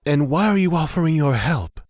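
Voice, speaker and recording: A man's voice: fairly high pitch, lively intonation, brisk, a little mellow, no room sound, soft background music, close to an everyday voice. A man playing a humble, laid-back elf wanderer in a fantasy audio drama, reflective and probing. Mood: probing, curious.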